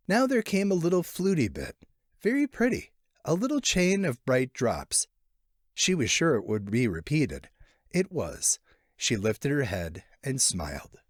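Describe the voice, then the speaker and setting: Man reading a story, animated pitch, dry sound. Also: no echo. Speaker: narrator, short story reader. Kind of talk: expressive literary audiobook narration.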